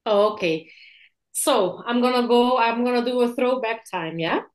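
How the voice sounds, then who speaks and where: Belgian woman in her 40s, english, chatty and warm, asks "yeah?" a lot, video call. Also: on the high side, measured pace, rough recording. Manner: warm, lively storytelling, adds "yeah?". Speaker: guest speaker. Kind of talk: online interview, personal story.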